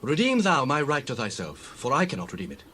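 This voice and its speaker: A man's voice: medium pitch, wildly swooping pitch, a little fast, pushed and bright, a little hiss or hum. An actor playing a cautious, self-protective kinsman in a dramatized Bible reading, declarative and emphatic. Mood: firm. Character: cautious kinsman guarding his own inheritance.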